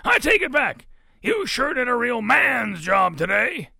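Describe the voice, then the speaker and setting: A storybook reader voicing a huge, blustery, jovial papa pig with a loud, folksy, exclamatory manner. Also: mid-range voice, very animated, unhurried, vocal fry, breathy edge, dry recording, clean studio sound, voice pushed up, full character voice. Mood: hearty, booming.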